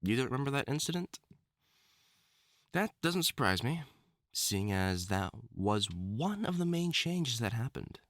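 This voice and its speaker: A man's voice: mid-range voice, very animated, fairly bright tone, dry recording, clean studio sound. A man playing a theatrical male wolf-mutant supervillain in love, teasing and wounded, in a scripted audio roleplay spoken to the listener. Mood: wistful, earnest. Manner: theatrical, taunting, dramatic swoops and pauses.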